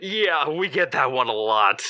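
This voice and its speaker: An actor playing a smug, cheerfully cruel radio co-host, breezy and taunting, in an audio drama. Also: male voice, medium pitch, animated, a little slow, sharp tone, dry recording, dull audio. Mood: breezy, mocking.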